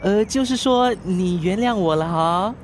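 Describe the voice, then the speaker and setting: A man's voice: high voice, unhurried, slight background noise. A man acting in a radio drama as a devoted, effusive young husband. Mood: overjoyed, doting. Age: young adult. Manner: effusive, melodramatic.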